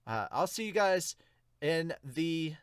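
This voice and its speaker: A man's voice: a little high, big pitch swings, takes it slowly, smooth, dry recording. Casual, rambling man reviewing manga, dry recording. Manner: rambling, informal, sweary. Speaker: podcast host reviewing manga. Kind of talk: casual unscripted reaction and review.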